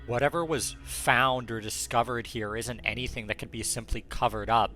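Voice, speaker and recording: A man's voice: mid-range voice, steady tone, moderate pace, pushed and bright. A man giving scripted, suspenseful narration as a video essayist. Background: background music.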